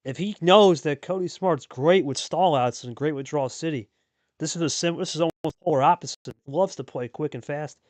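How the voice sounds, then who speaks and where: A man's voice: fairly high pitch, normal speed, darkish tone, dry recording. A man hosting and calling a card game match in a casual, chatty play-by-play style, repeating phrases for emphasis. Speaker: host and play-by-play commentator.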